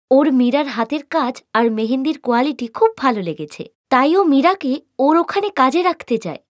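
A woman's voice: high-pitched, no room sound, studio quality. A woman voicing a kindly, well-off lady in a cartoon story, firm and reassuring.

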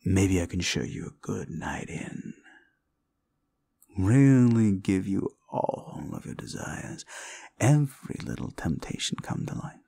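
A man's voice: low-pitched, lively intonation, creaky, mellow, husky, full character voice. A man voicing a condescending, seductive demon deal-maker in an audio roleplay, speaking in a theatrical, mocking drawl. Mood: teasing, seductive. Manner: slow, condescending, theatrical drawl with amused chuckles. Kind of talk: scripted audio roleplay addressed to the listener.

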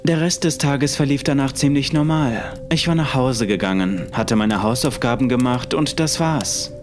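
A man's voice: mid-range voice, moderate pace. A man narrating a scripted first-person horror story. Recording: music under the voice. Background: music.

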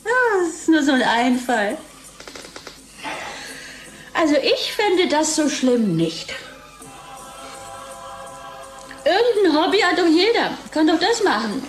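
Tipsy bored wife, radio drama, high-pitched, slow, playful, dreamy drawl, music underneath. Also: female voice, sing-song, low-quality recording. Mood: wry.

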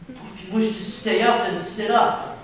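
A man's voice: sits high, a little slow. A man acting a stern, exasperated master of the house in a period stage play, commanding and incredulous. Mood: stern, angry. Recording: echoey room, distant mic, faint noise.

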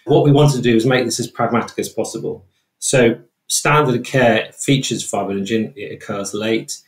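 A British man explaining research as an interview guest in a measured, careful way. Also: medium pitch. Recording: roomy, echoey sound.

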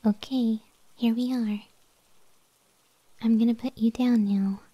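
A woman voicing a gentle, self-doubting giant cyclops wife in a soft, breathy ASMR roleplay, speaking tenderly and often near a whisper. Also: fairly high pitch, steady tone, dry recording, highs cut off. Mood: tender, caring. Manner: slow, tender, unhurried.

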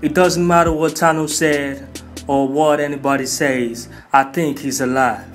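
Guy with a kinda high voice doing slangy hyped trailer commentary, music playing behind him. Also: steady tone, unhurried. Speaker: video host.

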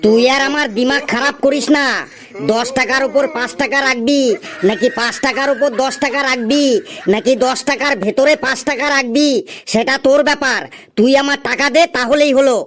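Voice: high-pitched, steady tone, a little fast, fairly bright tone. An actor playing a grumpy, stubborn tea stall keeper in a comedy cartoon, scolding and insistent. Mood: fed up.

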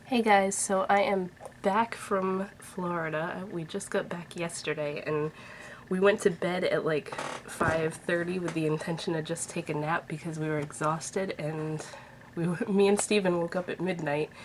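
A woman in her thirties talks to her webcam at home, her voice stuffy from a head cold. She rambles in a chatty, upbeat way, sitting a bit low in pitch. A faint hiss sits under the recording. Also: steady tone, measured pace. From Massachusetts. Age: 30s. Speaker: vlogger. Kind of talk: casual chat to camera. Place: bedroom at home, indoors. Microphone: webcam at desk distance.

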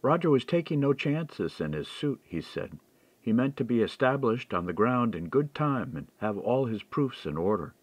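A man reading a historical mystery as an audiobook, in a measured, expressive storytelling manner. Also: mid-range voice, husky, no echo.

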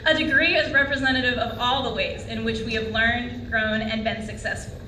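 A woman's voice: a little high, steady tone, moderate pace, sharp tone, a little hiss or hum. A young woman delivering an earnest commencement speech from a lectern on an auditorium stage, asking the audience questions. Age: young adult. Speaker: graduation speaker. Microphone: lectern microphone. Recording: echoey hall from far off.

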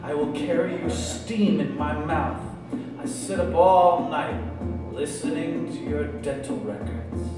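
A man's voice: fairly high pitch, measured pace, distant mic. A man in his thirties, a poet performing live spoken word into a stage microphone in a small club. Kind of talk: live spoken word poetry reading with casual banter. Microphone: stage microphone on a stand. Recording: echoey live club PA, music behind.

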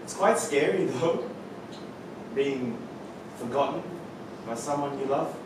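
A man's voice: medium pitch, expressive, slow pace. Guy playing a joking anxious young son, bouncy voice, echoey theatre from far off, noisy. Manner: wry, teasing, then alarmed and shouting. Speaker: actor in a staged reading. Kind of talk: staged play reading. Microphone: far camera, no visible mic.

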